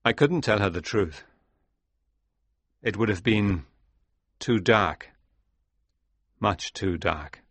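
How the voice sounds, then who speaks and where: A man playing a sombre, reflective sailor recounting his past in a dramatised audiobook, speaking in a measured, grave way. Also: lowish voice, fairly bright tone, husky, no room sound, heavily acted. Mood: grave, troubled.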